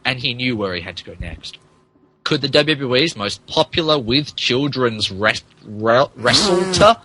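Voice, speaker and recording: Animated, bright-voiced man narrating dramatically. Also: medium pitch, breathy edge, no echo, poor audio. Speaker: podcast narrator. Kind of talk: dramatic comic narration of fan fiction.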